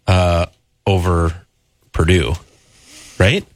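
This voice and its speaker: A man co-hosting a sports radio show, chiming in with brief quick remarks. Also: sits low, fairly even intonation, no echo. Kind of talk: casual sports talk.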